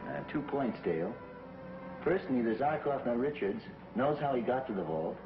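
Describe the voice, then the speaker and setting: A man's voice: mid-range voice, expressive, normal speed, natural voice. An actor playing a brave young male space hero who reasons firmly, in a 1950s TV series. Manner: firm, reasoning. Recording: dull 1950s TV soundtrack, roomy, with music.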